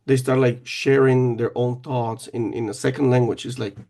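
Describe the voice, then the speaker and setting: Gravelly-voiced man, hesitant speech. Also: medium pitch, level tone, moderate pace, dark tone. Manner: hesitant, with frequent restarts. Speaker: teacher of children. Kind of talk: casual conversation.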